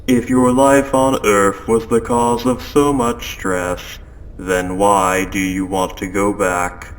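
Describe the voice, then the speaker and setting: A man voicing a calm, possessive spaceship AI in an audio roleplay, speaking in a measured, formal, softly coaxing way. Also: mid-range voice, creaky, full character voice, low-quality recording. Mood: probing, persuasive. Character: calm, possessive spaceship AI turning quietly obsessive. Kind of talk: scripted audio roleplay monologue. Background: ambient sound effects.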